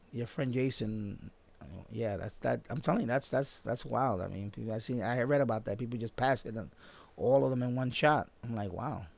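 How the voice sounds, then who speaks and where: A man of fifty with a New York accent talks in a mid-pitched, gravelly voice with plenty of fry. He rambles informally, circling back over his phrases as a live streamer does. The sound is dry, with little room in it. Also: dry recording. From born in Puerto Rico, grew up in the Bronx, New York. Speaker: live stream host, shortwave radio hobbyist. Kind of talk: casual live stream chat. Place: basement at home.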